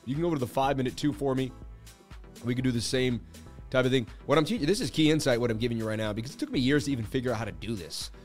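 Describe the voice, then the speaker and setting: Host: man, going hoarse, boastful, excitable trading streamer, faint music bed behind. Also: mid-range voice, very fast. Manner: hyped, boastful, laughing banter with chat. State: losing his voice. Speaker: crypto livestream host. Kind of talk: casual livestream market commentary.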